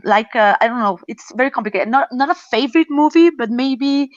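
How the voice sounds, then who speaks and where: Brief: woman moderator, fairly high voice, hesitant, dry lo-fi video call sound. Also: animated, brisk, dry recording. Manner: hesitant, friendly, frequent fillers. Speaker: festival Q&A moderator. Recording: dull dry lo-fi audio.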